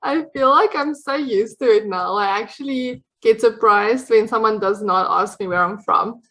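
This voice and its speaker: A woman's voice: fairly high pitch, moderate pace, fairly bright tone. A young woman with a South African accent whose first language is Afrikaans. She speaks as a dietitian guest in a casual chat and explains at length with small hesitations. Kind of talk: casual conversation.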